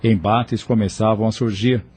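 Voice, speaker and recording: A man's voice: fairly low pitch, fairly even intonation, moderate pace, darkish tone. A man narrating an audio novel in a scripted, dramatic storytelling style, with faint ambient noise.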